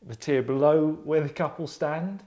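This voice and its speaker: A man's voice: fairly high pitch, moderate pace, lo-fi sound, crowd noise. A middle-aged man, a scriptwriter, narrating and casually explaining his work in an earnest, rambling way. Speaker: scriptwriter reading stage directions. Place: furnished room indoors.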